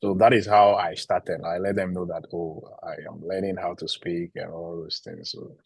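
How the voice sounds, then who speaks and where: A man from Ghana with a Ghanaian English accent, giving measured interview answers about teaching. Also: low voice, level tone, husky, no room sound. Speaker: international PhD student and teacher.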